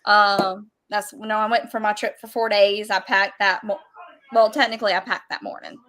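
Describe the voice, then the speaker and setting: A woman's voice: medium pitch, steady tone, moderate pace, fairly bright tone. A woman co-hosting a livestream in casual chat, with a playful, self-deprecating manner. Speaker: livestream co-host.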